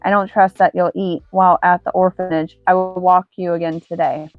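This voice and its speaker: A woman voicing a small, protective male cat creature traveler in a lowered, steady voice. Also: brisk, no echo, narrow, tinny audio, faint music behind, lightly acted.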